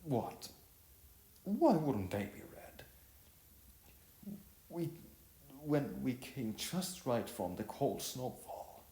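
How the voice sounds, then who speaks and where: A man's voice: mid-range voice, big pitch swings, extremely slow, pauses often, dark tone, voice pushed up, heavily acted. A man voicing a restless, hard-working servant in an audio story, hesitant and earnest as he justifies himself. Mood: puzzled. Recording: roomy echo, faint music behind.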